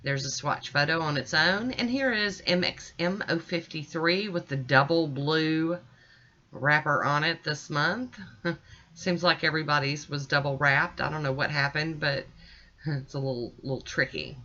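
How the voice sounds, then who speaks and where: A woman's voice: sits low, unhurried, pushed and bright, dull audio. A middle-aged American woman giving a chatty, folksy and informal voice-over as a nail art vlogger. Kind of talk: casual tutorial voice-over.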